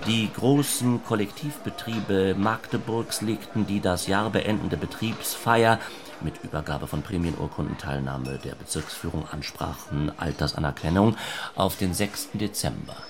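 A man reading scripted literary narration in a measured, deliberate way. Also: deep voice. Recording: clear background noise.

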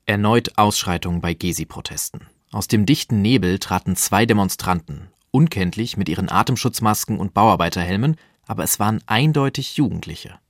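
A man's voice: on the low side, animated, a little fast, hardly any pauses, dry recording, studio quality. A man reading excerpts from a novel as scripted literary narration.

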